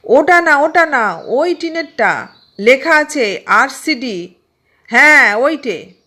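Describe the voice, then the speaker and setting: A woman's voice: sits high, big pitch swings, takes it slowly, pushed and bright, pitched above the performer's own voice, full character voice. A woman voicing flustered, bossy bus passengers in an audio story, calling out urgently. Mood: bossy, alarmed.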